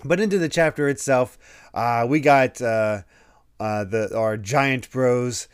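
A man hosting a casual podcast, his voice a little croaky from a recent illness. He laughs often and retells scenes in quick quoted voices. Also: mid-range voice. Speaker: podcast host.

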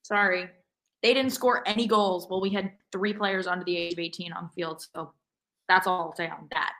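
A woman's voice: lowish voice, fairly even intonation, moderate pace, fairly bright tone, poor audio. An American woman co-hosting a casual sports podcast, with a wry, self-deprecating way of talking. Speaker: podcast co-host.

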